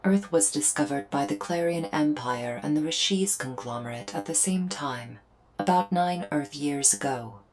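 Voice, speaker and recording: Low female voice, woman acting as solemn alien invader, formal, measured, deliberate pauses, ASMR audio roleplay, music underneath. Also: lightly acted. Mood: solemn, explanatory. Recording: music behind the voice.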